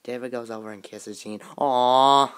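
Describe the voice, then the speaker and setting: A woman giving casual, spontaneous reactions to a video in short, excitable exclamations, recorded in a room at home. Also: extremely low pitch, a little slow, clean tone. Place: indoor room at home, on a bed.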